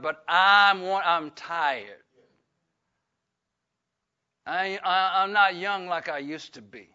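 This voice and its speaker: A man's voice: a little high, pushed and bright. An older man, preaching a folksy Bible-study sermon full of fillers and restarts. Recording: dull lo-fi recording.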